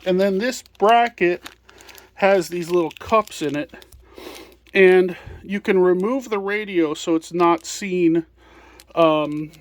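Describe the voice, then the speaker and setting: A man's voice: a little high, a little mellow, no room sound. A man presenting products in an informal walkthrough, explaining hands-on as he handles items.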